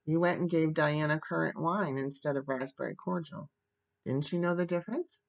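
A brisk, no-nonsense older woman from a storybook, acted by a woman reader. Her creaky voice is stern and curt, that of a guardian used to scolding. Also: sits low. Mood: exasperated.

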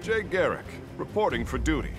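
Earnest, gallant veteran hero. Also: male voice, on the high side, very animated, a little fast. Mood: confident. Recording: loud music behind studio game voice.

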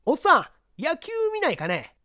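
A brash, impatient newspaperman, acted by an audiobook reader from a detective story. He talks bluntly in rough slang, pitched up and hugely animated. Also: moderate pace, exaggerated voice. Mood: eager, prodding. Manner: blunt, rough colloquial speech. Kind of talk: audiobook character acting.

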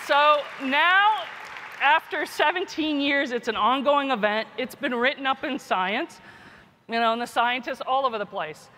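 A woman's voice: high-pitched, a little slow, bright, forceful tone, some chatter behind, a little hiss or hum. A woman giving a conference keynote as a scientist and teacher, informal, often adding "right?" and "you know". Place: large conference hall. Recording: echoey hall, long reverb.

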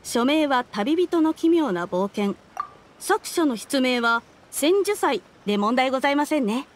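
High-voiced, courteous young clerk. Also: female voice, expressive, a little slow, a little hiss or hum. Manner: very polite, businesslike. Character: polite young female publishing clerk, gentle, formal. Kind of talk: anime game voice acting.

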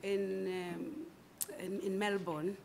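A South African woman in her forties, answering interview questions formally and carefully, with frequent pauses and fillers, at a table microphone in a large conference room. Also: medium pitch, little pitch movement, vocal fry, a little mellow. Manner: measured, hesitant with fillers. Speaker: candidate advocate interviewed for judgeship.

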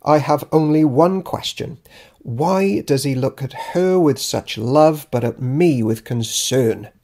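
A man's voice: medium pitch, takes it slowly, a little mellow. A man reading an audiobook as Judas Iscariot, a self-important, grudging disciple keeping a diary, in a dry, theatrical, pompous manner. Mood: grave, resentful. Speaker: author reading own audiobook. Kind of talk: dramatic audiobook narration of a diary.

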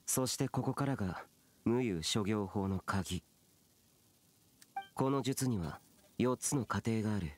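A man playing an aloof, youthful immortal warrior in an anime game, speaking gravely and curtly. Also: lowish voice, a little slow, long pauses. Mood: solemn, instructive. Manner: curt, grave, stern. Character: solemn, aloof immortal warrior guardian, young-looking man. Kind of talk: Japanese anime game voice acting. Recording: game music behind.

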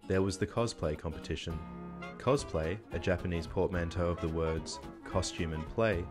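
A young man reading a scripted voice-over as a reporter, with dry, wry commentary, into a desk mic in a small office. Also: sits low. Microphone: studio mic on a desk stand.

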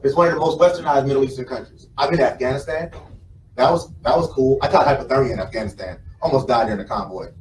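A man's voice: mid-range voice, fast talker, darkish tone. American man giving a roomy, distant motivational talk. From South Carolina, United States. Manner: conversational, storytelling, repeats phrases for emphasis. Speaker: motivational speaker. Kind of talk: informal motivational speech to a live audience. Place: indoor conference room with a small stage. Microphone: camera mic from the audience, several meters away. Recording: roomy, distant mic, some background noise.

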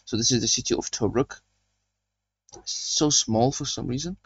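A man's voice: mid-range voice, moderate pace, fairly bright tone, breathy edge, no room sound, dull audio. A young man hosting a gaming channel, chatting casually as he plays and reads mission briefings aloud. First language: not German. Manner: chatty, rambling, reading game briefings aloud. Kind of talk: casual game commentary.

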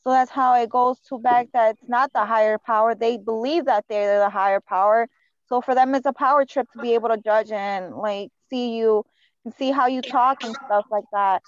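A woman's voice: fairly high pitch, fairly even intonation, normal speed, dry recording, dull audio. A woman with a Chicago accent calling in to a talk show, talking casually with many fillers. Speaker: caller.

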